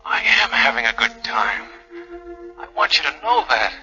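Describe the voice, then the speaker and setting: A man's voice: medium pitch, wildly swooping pitch, normal speed, pushed and bright, heavily acted. An actor playing an earnest young airman in a 1940s radio drama. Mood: earnest, wistful. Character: earnest young airman savoring borrowed time. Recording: dull 1940s radio recording, faint music.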